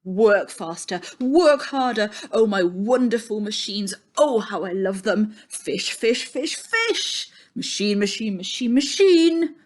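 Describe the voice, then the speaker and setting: A woman plays an excitable working-class uncle from a children's storybook. He is bossy and impatient, barking out his words in loud exclamations, obsessed with his fish machines. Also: mid-range voice, slow, few pauses. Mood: gleeful, frenzied. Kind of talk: storybook character reading.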